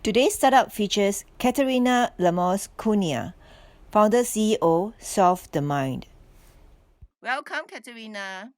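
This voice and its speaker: A woman hosting an interview show, reading the intro and asking halting questions. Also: medium pitch, expressive, darkish tone, no room sound. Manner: reads intro, then asks halting questions. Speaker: host. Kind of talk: interview host.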